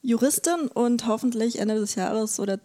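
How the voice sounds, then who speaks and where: A woman's voice: fairly high pitch, normal speed, warm tone. Young woman, wry podcast guest. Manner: wry, self-ironic. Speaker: interview guest, research associate. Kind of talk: casual interview conversation.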